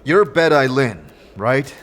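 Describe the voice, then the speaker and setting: A man's voice: mid-range voice, lively intonation, takes it slowly, a bit bright, voice pushed up. A man playing a firm, blunt adventurer questioning people in a fantasy audio drama. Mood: probing.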